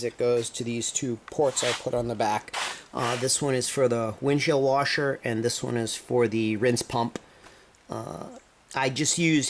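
DIY vlogger, male, mid-pitch, loose self-correcting explanations, camera mic in a garage with faint hiss. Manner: rambling, self-correcting explanations while filming. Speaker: DIY video maker. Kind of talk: casual workshop build walkthrough. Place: indoor garage workshop.

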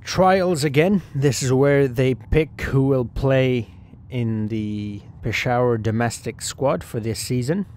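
A man with a mid-pitched voice narrates sports game play as if he were the hopeful athlete himself. He talks his way through the action in the first person. Ambient noise is clearly audible behind his voice. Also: takes it slowly, darkish tone. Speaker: gaming video host.

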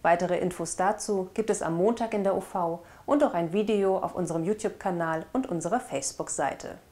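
A woman's voice: lowish voice, a little fast, dark tone. A young woman presenting scripted local news as host, recorded indoors on a lapel mic. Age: young adult. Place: indoor studio with blue backdrop.

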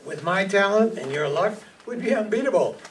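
A breezy, self-assured would-be gumshoe with theatrical flair, played by an older man in a stage radio play. The voice is high for a man, recorded from a distance with faint room noise. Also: lively intonation, normal speed. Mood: persuasive. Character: cocky, theatrical male would-be detective partner. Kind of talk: amateur radio play reading.

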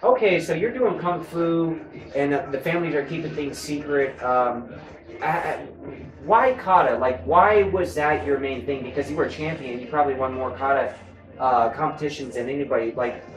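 A man in his thirties with an American accent and a slightly higher voice asks questions as an interview host. He is chatty and informal, recorded in an echoey room. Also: moderate pace, a crowd in the background. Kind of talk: casual interview conversation. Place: indoors, room with a weapons display wall.

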